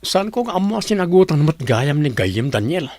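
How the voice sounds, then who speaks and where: Man acting lively, confident office suitor. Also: fairly high pitch, normal speed, dry recording. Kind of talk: Ilocano radio drama acting.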